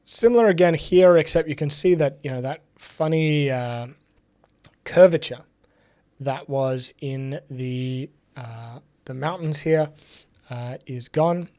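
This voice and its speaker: A young man with a slightly raspy voice, recovering from illness, hosting a casual live tech stream from a home office. He thinks aloud with many fillers and drops in dry, sarcastic asides. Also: on the high side, slow, a little mellow. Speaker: livestream host. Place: home office with bookshelves, indoors.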